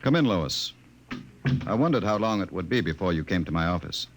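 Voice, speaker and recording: An actor playing a cold, menacing director of a totalitarian state in a 1950s radio drama, speaking formally and with measured authority. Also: male voice, deep voice, animated, over music. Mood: coolly coercive.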